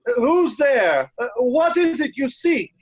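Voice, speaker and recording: A woman playing a loyal nobleman who pleads earnestly and gently with an old king in an amateur Shakespeare reading. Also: high-pitched, very animated, a little slow, highs cut off. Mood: concerned.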